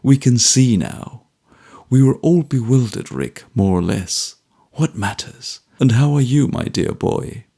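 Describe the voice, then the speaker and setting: Man doing a kindly older guardian, soothing, reassuring, gravelly voice. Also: medium pitch, animated, measured pace, mellow.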